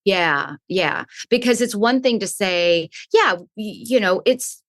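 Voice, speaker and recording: A woman's voice: mid-range voice, expressive, slow pace, no room sound, studio quality. A woman speaking as a guest in an interview, a resilience coach who explains her points thoughtfully and often restarts her phrases. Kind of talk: conversational interview answers.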